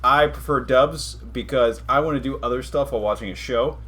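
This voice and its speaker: A man's voice: medium pitch, fairly quick, fairly bright tone. A man in casual chat while playing a video game, reacting to what happens in it as he plays. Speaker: gaming co-streamer.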